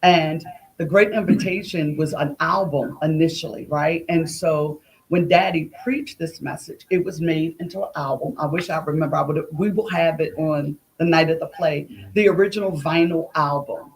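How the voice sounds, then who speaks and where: A woman's voice: low voice, moderate pace, rough recording. A woman talking conversationally as an interview guest, telling stories and acting out what people said. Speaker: guest, playwright. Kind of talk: conversational storytelling.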